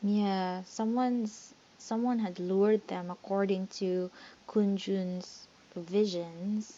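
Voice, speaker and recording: A woman's voice: medium pitch, fairly even intonation, slow pace, mellow. A woman giving casual commentary as she plays through a video game. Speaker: gamer playing through a game. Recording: dull lo-fi mic with faint noise.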